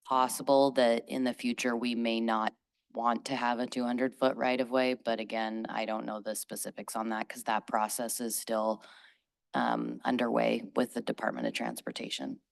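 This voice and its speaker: A woman on city planning staff, hoarse from being sick, giving careful, measured answers at a public hearing. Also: extremely low pitch, little pitch movement, no echo, studio quality. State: hoarse from illness. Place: council chamber.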